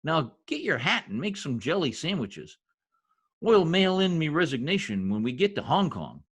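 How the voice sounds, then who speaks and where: A man acting a blustery middle-aged husband from an old-time radio comedy. His voice is husky and rather high, swinging widely as he exclaims in folksy slang. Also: sing-song, moderate pace, fairly bright tone, heavily acted. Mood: flustered.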